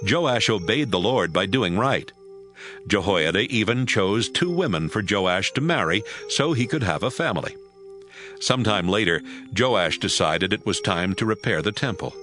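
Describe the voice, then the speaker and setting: A man narrating an audio Bible drama from a script in a measured storytelling manner. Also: lowish voice, a bit bright.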